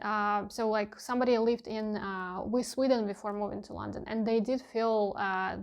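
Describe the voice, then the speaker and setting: Young russian-native woman chatting to camera, kinda flat and even, fillers everywhere. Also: fairly high pitch. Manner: candid, searching for words with many fillers. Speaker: vlogger. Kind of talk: casual talking-head vlog.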